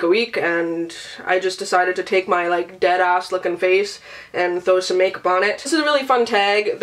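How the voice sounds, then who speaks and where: A woman's voice: mid-range voice, fairly bright tone. Sick, nasally woman rambling casually. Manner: chatty, casual, swears freely. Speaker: beauty and book vlogger. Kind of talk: casual rambling vlog chat.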